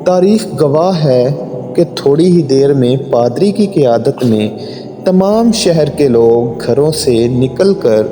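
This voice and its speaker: A man giving a solemn, measured, dramatic narration of a moral story from a script. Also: medium pitch, expressive, warm tone. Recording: long hall reverb, music underneath. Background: background music.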